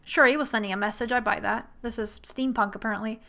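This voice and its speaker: A woman's voice: on the high side, fairly even intonation, quick pace, gravelly, pushed and bright. A woman streaming a game, chatting casually, giggling and reading the game's text aloud with asides. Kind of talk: casual live-stream chat while playing a game.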